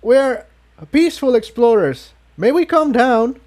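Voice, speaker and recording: A man's voice: very high voice, lively intonation, measured pace, a little mellow, pitched above the performer's own voice. A man voicing a friendly, peaceable male pony explorer in a storybook, in an earnest manner. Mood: friendly, calling out.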